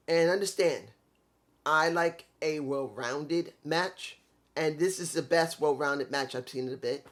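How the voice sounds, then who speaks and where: Congested male reviewer with a slightly high voice, blunt and rambling, for a casual solo talk. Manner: blunt, opinionated, rambling with restarts. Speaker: wrestling reviewer.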